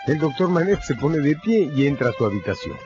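A man's voice: on the high side, very animated, a little fast. A man narrating a radio drama in a scripted, dramatic storytelling style. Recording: dull lo-fi audio under music.

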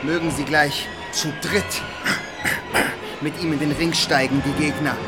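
An actor playing a grandiose history narrator in a radio play, delivering scripted narration with dramatic relish. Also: male voice, fairly high pitch, fairly even intonation, unhurried, natural voice. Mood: rousing. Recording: loud music under the voice. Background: music.

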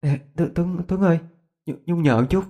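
A man's voice: a little high, moderate pace, dark tone, voice pushed up, close to an everyday voice. A man voicing a frightened, timid young female student in a ghost story, stammering and pleading.

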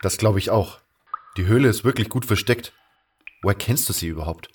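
Low, subdued hero played by a man, noisy recording. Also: fairly quick, darkish tone, natural voice. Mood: wry, curious. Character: brooding, earnest male adventurer hero telling his own story. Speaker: narrator and lead actor in a fan audio drama. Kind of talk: first-person dramatic narration and dialogue.

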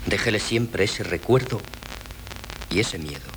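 An actor playing a modest, drily bemused doctor in a 1970s radio play, with deliberate, formal theatrical delivery. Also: male voice, lowish voice, animated, a bit bright. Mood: measured. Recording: noisy 1970s radio recording.

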